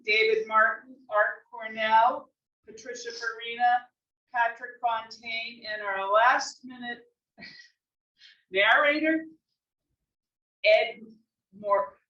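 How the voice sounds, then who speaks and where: A woman's voice: on the high side, pushed and bright. A woman hosting an event, making an introductory announcement with thanks. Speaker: event host. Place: library room before an audience. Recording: echoey room, dull distant mic.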